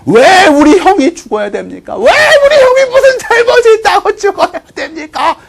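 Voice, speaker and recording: A man voicing a soldier's fiery younger brother in a history tale, with desperate drawn-out cries. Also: very high-pitched, big pitch swings, creaky, pitched above the performer's own voice, exaggerated voice. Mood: anguished.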